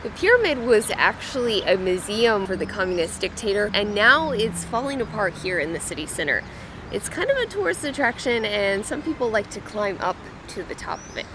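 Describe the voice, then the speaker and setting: A woman co-hosting a travel vlog, narrating casually and exclaiming with excitement. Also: mid-range voice, brisk, noisy background. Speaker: travel vlogger co-host.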